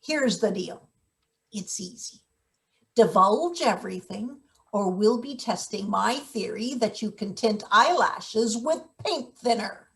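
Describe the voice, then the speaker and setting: Woman acting slow, menacing, sardonic factory veteran. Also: medium pitch, lively intonation, gravelly, fairly bright tone, full character voice. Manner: sardonic, interrogating, theatrical threats. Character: menacing, sardonic late middle-aged maintenance worker, bitter bully. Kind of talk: live play table read, satirical stage acting.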